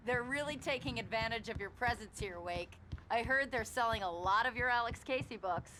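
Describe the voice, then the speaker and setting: A woman playing a brisk, capable small-town sheriff in a video game, with an American accent and a matter-of-fact, commanding manner. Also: on the high side, sharp tone, slight background noise. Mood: wry, relaxed. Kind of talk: video game dramatic acting. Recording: game music behind the voice.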